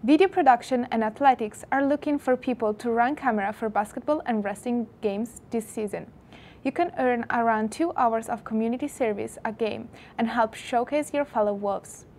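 A teenage girl from Italy reads school announcements as a student anchor in a school TV studio. Her voice is slightly high, and a faint low rumble sits underneath. She reads clearly and evenly. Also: a little mellow. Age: teens.

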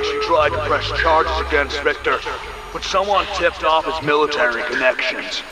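A man playing an uneasy former classmate giving grim, serious and deliberate testimony in a motion comic. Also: on the high side, close to an everyday voice, poor audio.

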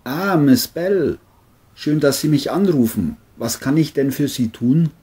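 A man playing a crime novelist in an amateur radio play, speaking in a formal, deliberate manner. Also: on the high side, animated, noisy background. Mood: casual, obliging. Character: boastful male bestselling crime novelist. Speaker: writer and narrator of his novel.

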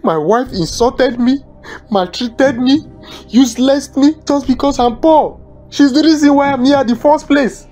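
A man's voice: fairly high pitch, animated, moderate pace. Give the voice of a man acting a poor, desperate husband with a Nigerian accent. He stammers and repeats himself in melodramatic film style. Music plays behind him. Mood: bitter, accusing. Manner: stammering, agitated, repeats words. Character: desperate poor husband entangled in a money ritual.

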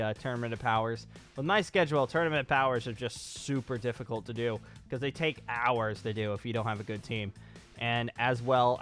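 A man's voice: mid-range voice, a bit bright. Casting: male gaming vlogger, twenties, mid-range voice, conversational and rambling, close-miked at a home desk over faint music. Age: 20s. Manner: rambling, conversational, repeats phrases for emphasis. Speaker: YouTube gaming channel host. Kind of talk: casual channel update vlog. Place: small home room with acoustic foam, at a desk. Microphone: studio mic on a boom arm, close.

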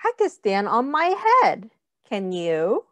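A middle-aged woman reading a picture book aloud to young children, with simple, deliberate phrasing and questions to the listeners. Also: mid-range voice, sing-song, fairly bright tone, no room sound.

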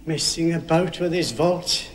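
An actor playing a stern, pious old church caretaker, scolding an intruder in a film. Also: male voice, fairly high pitch, a little slow, lightly acted. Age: older.

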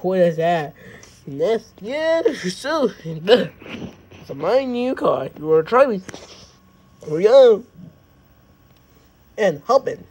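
A man doing an exaggerated, excitable amateur parody dub of a cartoon, prone to shouting and yelping. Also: high-pitched, extremely slow, long pauses, slightly rough. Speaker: amateur fan dubber.

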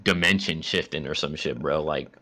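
A man's voice: rumbling low, normal speed, bright, forceful tone. A man in casual, profane chat during a comic readthrough as an amateur voice actor.

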